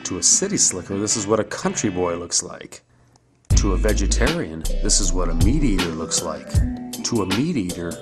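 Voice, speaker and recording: An American man from California giving a scripted, persuasive monologue, speaking directly and rhetorically to the viewer. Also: low voice. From San Jose, California, United States. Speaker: video blogger.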